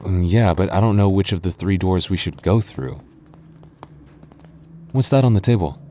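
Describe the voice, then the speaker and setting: A young goth boyfriend, played by a man, talks close and soft in an ASMR roleplay. His voice sits low and warm, and he teases his partner fondly. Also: lively intonation, normal speed, slight background noise. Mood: affectionate. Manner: soft, intimate, playfully teasing. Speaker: voice actor.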